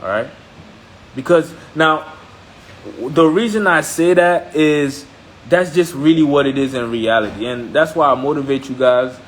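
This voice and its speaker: A man's voice: on the high side, unhurried, a little hiss or hum, rough recording. A man from Haiti speaking as a trading mentor on a live stream, blunt and emphatic, repeating points and checking in with listeners. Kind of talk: motivational live-stream talk.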